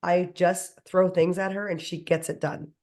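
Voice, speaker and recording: A woman with a hoarse voice worn down by a cold, pitched on the lower side. She speaks with enthusiasm in a casual panel chat and piles up long lists as she goes. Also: flat delivery, moderate pace, darkish tone. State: hoarse from a cold, losing her voice.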